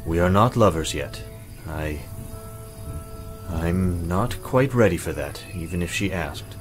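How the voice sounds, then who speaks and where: A man's voice: sits low, takes it slowly, soft background music. A man playing a formal, earnest and socially awkward mage in a visual novel, speaking stiffly and pedantically. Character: stern, formal, awkward male mage and exacting training partner. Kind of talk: visual novel character dialogue.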